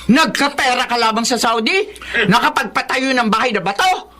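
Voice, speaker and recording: A woman's voice: a little high, animated, normal speed, pushed and bright, slight background noise. A woman playing a protective, blunt middle-aged aunt in a Filipino radio drama. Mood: indignant.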